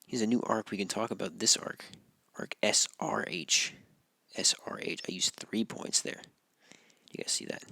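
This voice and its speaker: Gravelly-voiced male math teacher, losing his voice. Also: fairly low pitch, normal speed, dry recording. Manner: relaxed, repeats points and checks with "right?". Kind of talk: recorded classroom walkthrough.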